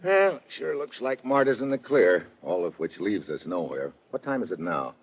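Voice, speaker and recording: A man's voice: low-pitched, very animated, moderate pace, heavily acted. An actor playing a terse, wry, suspicious American investigator who narrates his own case in old-time radio detective style. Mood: dry, skeptical. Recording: dull vintage radio recording with music.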